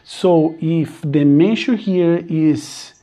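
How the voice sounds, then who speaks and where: A middle-aged man with a Portuguese accent, a native Portuguese speaker teaching a math lesson in halting English. He searches for words and counts aloud step by step. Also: fairly high pitch, dark tone. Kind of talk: screen-recorded math lesson.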